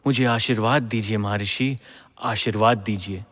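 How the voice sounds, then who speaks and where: A man's voice: on the low side, fairly even intonation, normal speed, fairly bright tone. An actor playing a humble, devout young king of legend in a mythological audio drama, formal and earnest. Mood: reverent, earnest. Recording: long reverberant hall echo.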